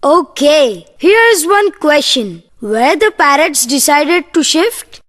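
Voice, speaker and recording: A woman presenting a scripted lesson for children, deliberate and instructional. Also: sits high, very animated, fairly bright tone.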